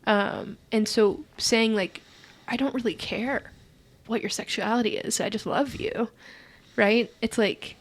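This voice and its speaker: A woman in her twenties with a soft, breathy voice, a podcast guest who talks hesitantly and searches for her words in casual chat. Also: on the high side, unhurried, a little hiss or hum. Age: 20s. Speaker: podcast guest, stage performer.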